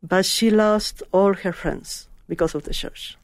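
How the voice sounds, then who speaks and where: Gravelly woman, earnest. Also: mid-range voice, steady tone, measured pace, no room sound. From Chile. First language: Spanish. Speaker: guest. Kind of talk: heartfelt personal testimony.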